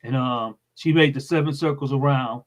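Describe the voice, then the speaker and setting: A man's voice: medium pitch, normal speed. An American man speaking as a guest in an interview, explaining things in a plain-spoken, informal way. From United States.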